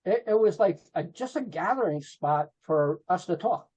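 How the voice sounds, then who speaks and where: A man's voice: high voice, animated, moderate pace, mellow, slightly rough. A middle-aged man reminiscing casually as an interview guest, informal and peppering his talk with "you know". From New Jersey, USA. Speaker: podcast guest, rock photographer. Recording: dull lo-fi call audio.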